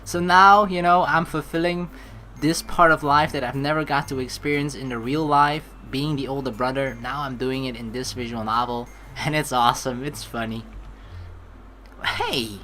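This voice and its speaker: A woman narrating a game playthrough, with animated, jokey asides and some swearing. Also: a bass voice, moderate pace, a little hiss or hum. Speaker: let's play streamer. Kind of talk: playthrough narration with casual reactions. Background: background game music.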